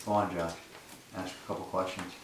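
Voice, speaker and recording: A man's voice: low-pitched, little pitch movement, fairly quick. A man investigating a haunted house, calling out to spirits in a coaxing, conversational way. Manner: coaxing, speaks to spirits directly. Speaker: paranormal investigator. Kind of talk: casual ghost-hunting talk. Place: inside a house. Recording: echoey room from far off, faint noise.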